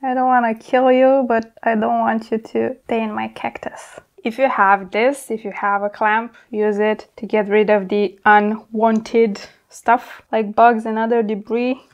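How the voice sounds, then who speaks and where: A woman's voice: fairly high pitch, flat delivery, unhurried, hardly any pauses, lo-fi sound. A young woman hosting a plant vlog, talking casually and candidly as she works with her hands, informal and mildly sweary. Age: young adult. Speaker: plant channel host. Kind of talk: casual hands-on tutorial chat. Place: indoor plant studio at a worktable.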